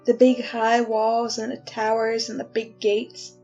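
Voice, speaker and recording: Wry condemned prisoner, higher-voiced. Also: female voice, flat delivery, measured pace, warm tone, muffled recording. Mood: wry, brooding. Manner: colloquial, confiding. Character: condemned prisoner, wry, resigned, folksy storyteller. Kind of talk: 1940s radio drama acting. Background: music.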